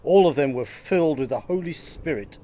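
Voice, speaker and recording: A man reading a Bible passage aloud in church in a measured, deliberate way. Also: on the high side, animated, dark tone. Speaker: Bible reader.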